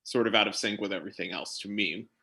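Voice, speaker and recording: Bright, forceful low-pitched man. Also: fast talker, vocal fry, breathy edge. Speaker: panelist. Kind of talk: casual film commentary chat.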